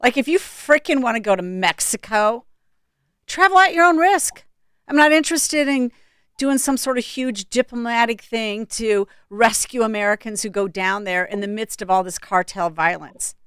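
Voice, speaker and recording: A woman co-hosts a radio talk show, blunt and exasperated in how she speaks. Her voice is smooth and clean. The studio sound is dry and close. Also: a little high, normal speed, fairly bright tone, no echo. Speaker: radio co-host.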